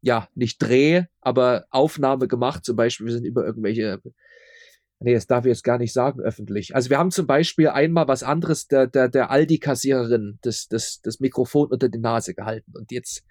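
A man's voice: medium pitch, a little fast, a little mellow, no echo. Casting: male interview guest, mid-range voice, casual and anecdotal, repeats words as he searches, ironic asides, close dry recording. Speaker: interview guest, audio drama producer.

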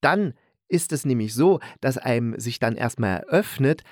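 A man's voice: mid-range voice, expressive, moderate pace, no echo, studio quality. A man giving a casual, colloquial spoken review as a podcast host, enthusiastic and fond of emphatic repetition.

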